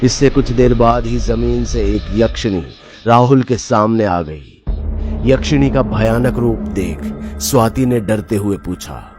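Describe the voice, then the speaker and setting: Male horror storyteller over loud music. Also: mid-range voice, measured pace. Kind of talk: scripted dramatic horror narration.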